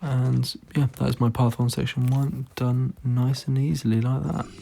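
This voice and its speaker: Hoarse, gravelly man commenting gameplay. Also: mid-range voice, flat delivery, a little slow, warm tone. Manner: laid-back, rambling, frequent ums. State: hoarse, losing his voice; tired, yawning late at night. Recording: noisy recording with clicks.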